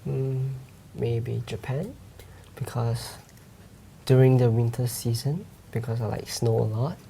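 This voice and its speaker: A man's voice: mid-range voice, fairly even intonation, takes it slowly, gravelly, dark tone, a little hiss or hum. A young man from Malaysia answering exam questions hesitantly with many fillers. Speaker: exam candidate.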